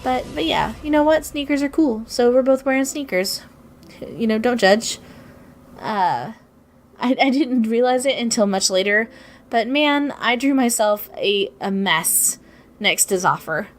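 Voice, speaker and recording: A young woman with a cold, her voice raspy and sniffly, rambling casually and self-deprecatingly over her art video with many ums and restarts. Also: fairly high pitch, lively intonation, measured pace. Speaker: artist narrating her speedpaint.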